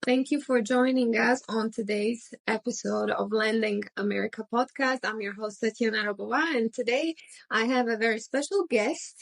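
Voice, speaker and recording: This is a woman with a somewhat high voice. She hosts an interview podcast and speaks conversationally as she addresses listeners and her guest. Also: moderate pace, gravelly.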